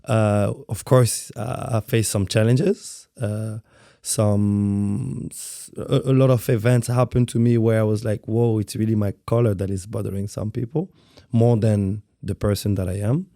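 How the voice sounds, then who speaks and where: A man in his thirties from France with a French accent, a dance guest speaking in a soft, halting way and often repeating words as he searches for phrasing. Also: lowish voice, steady tone, creaky, no echo, studio quality. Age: 30s. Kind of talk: interview conversation.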